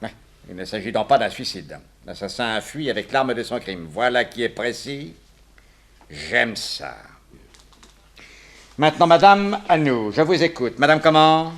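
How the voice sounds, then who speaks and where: A man's voice: mid-range voice, lively intonation, unhurried. An actor playing a brusque, self-important police inspector in a French radio detective drama, curt and bossy as he questions and gives orders. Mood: brisk, probing.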